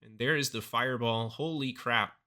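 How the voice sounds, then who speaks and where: A young man with a voice a little hoarse from illness, talking casually over his gameplay footage as a gaming YouTuber. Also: medium pitch, moderate pace. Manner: upbeat, excited over big plays.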